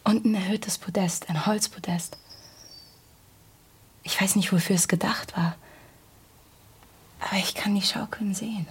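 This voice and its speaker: An actress playing a dreamy woman in a radio drama, recalling memories in an intimate, breathy whisper. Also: mid-range voice, steady tone, unhurried, pauses often, slight background noise, natural voice. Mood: dreamy, wistful. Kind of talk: modern radio drama acting.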